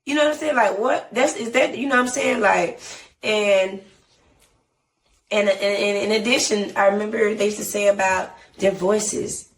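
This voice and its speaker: Southern woman, low voice, chatty rambling guest, a bit distant on mic. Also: moderate pace. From Atlanta. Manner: animated, rambling, frequent "you know what I'm saying". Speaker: guest artist. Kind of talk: casual interview.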